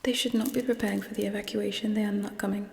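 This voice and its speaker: A young woman playing a cold, scheming alien queen in an ASMR roleplay, speaking in an imperious, condescending, hushed way. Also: medium pitch, little pitch movement, fast, vocal fry, a little hiss or hum, lightly acted. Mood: brisk, commanding. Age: young adult. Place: small indoor set with draped backdrop. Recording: echoey reverberant close whisper.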